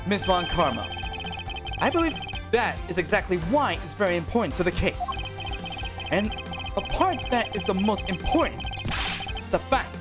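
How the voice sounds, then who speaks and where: A man voicing a calm, confident male prosecutor who reasons aloud in a measured, deliberate way over game music. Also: sits high.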